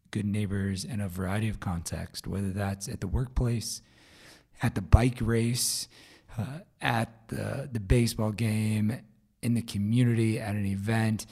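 A man with a low, raspy voice, hoarse from a vocal cord problem, hosts his podcast alone. He talks in a loose, casual way, full of fillers and restarts. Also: mellow. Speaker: podcast host. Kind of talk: solo podcast update.

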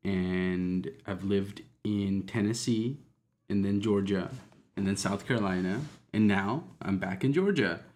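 A man's voice: fairly low pitch, a little mellow. A man in his twenties, born in India and raised in the US, chatting casually and dryly among friends. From born in India, raised in US from age eleven. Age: 20s. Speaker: podcast co-host.

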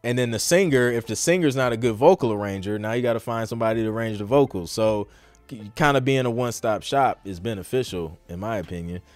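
Low-voiced man, casual and close. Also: fast talker, no room sound, faint music behind. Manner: informal, slangy, thinking aloud. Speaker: podcast host and music producer answering questions. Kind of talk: casual live Q&A talk.

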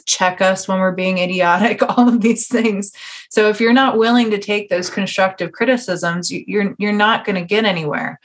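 A woman hosting a casual interview podcast, talking emphatically in slangy, conversational asides. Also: mid-range voice.

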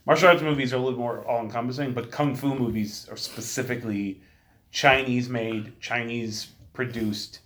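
A man's voice: mid-range voice, fairly even intonation, normal speed, fairly bright tone. A man co-hosting a podcast, chatting casually about films and shows and explaining his opinions in detail. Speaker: podcast co-host.

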